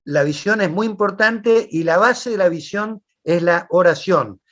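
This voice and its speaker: A man hosting an online talk, relaxed and unhurried, with frequent "este" fillers. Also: high voice, lo-fi sound. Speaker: host.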